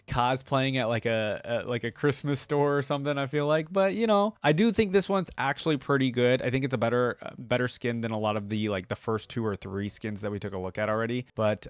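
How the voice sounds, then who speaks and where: A man's voice: medium pitch, fairly quick, few pauses, clear, resonant tone, no echo. A man giving casual, informal reaction commentary, thinking aloud with exclamations as a video creator.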